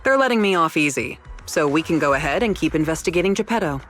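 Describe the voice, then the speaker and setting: Composed young researcher, music behind. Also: female voice, low voice, expressive, quick pace, fairly bright tone. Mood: composed, wry. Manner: formal, precise, dryly evasive. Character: young female researcher, composed, wry, quietly rule-bending. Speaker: researcher character. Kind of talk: scripted video game dialogue.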